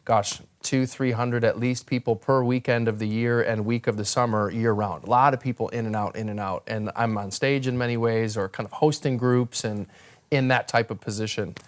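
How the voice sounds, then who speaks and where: Smooth, clear young American man. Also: mid-range voice, steady tone, moderate pace. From northern Wisconsin, United States. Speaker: interview guest, ministry worker. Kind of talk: conversational personal storytelling.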